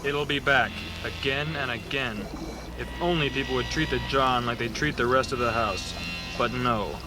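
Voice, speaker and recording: A man's voice: mid-range voice, normal speed, recorded from a distance, music in the background, close to an everyday voice, rough recording. A man playing a gloomy, earnest expert in a melodramatic radio play, grave and portentous.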